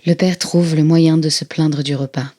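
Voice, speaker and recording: A woman's voice: deep voice, steady tone, moderate pace, dark tone. A woman narrating audio fiction as one of a chorus, in a sober, restrained manner.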